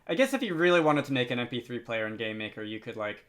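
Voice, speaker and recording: A man hosts a software tutorial with a mid-pitched voice. He explains things off the cuff, often pausing and hesitating as he thinks aloud. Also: big pitch swings. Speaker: tutorial host. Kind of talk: unscripted software tutorial narration.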